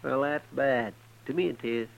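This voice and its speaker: Man as a gravelly, folksy sidekick. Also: mid-range voice, normal speed, lightly acted. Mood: indignant, chatty. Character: folksy, opinionated frontier deputy, adult man. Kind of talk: 1950s western radio drama acting. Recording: dull, noisy old radio recording.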